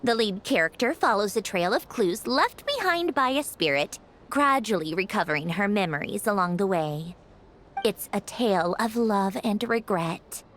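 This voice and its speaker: A woman playing a composed, analytical young woman in a video game, frank and measured. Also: medium pitch, fairly bright tone. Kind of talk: video game dialogue acting. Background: game music.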